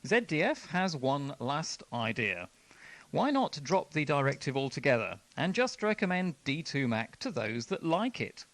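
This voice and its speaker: A man presents on radio, reading scripted articles as narration. His pitch moves in a lively, animated way and his tone is bright and forward. The sound is close and dry, with no room echo. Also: unhurried.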